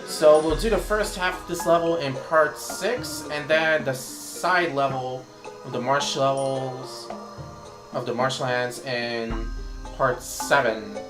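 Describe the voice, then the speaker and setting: A man talks through his gameplay in a loose, rambling way, his voice worn hoarse from hours of talking. The sound is roomy, and the game's music plays clearly underneath him. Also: medium pitch, fairly even intonation, slow. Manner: rambling, thinks aloud while playing. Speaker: let's play commentator. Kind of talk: casual gameplay commentary. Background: game music.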